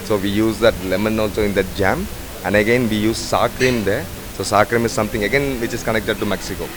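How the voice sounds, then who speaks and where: A man's voice: lowish voice, fairly even intonation, fast, a crowd in the background. A man, a head bartender talking in a rambling way with many fillers. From Sri Lanka. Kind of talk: casual interview. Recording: noisy bar ambience.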